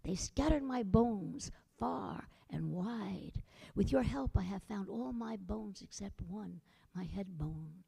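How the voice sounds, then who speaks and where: A woman voicing a headless male skeleton ghost with a low, slow, drawn-out spooky voice, using puns and speaking solemnly. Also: creaky, dark tone, husky, heavily acted. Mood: mournful.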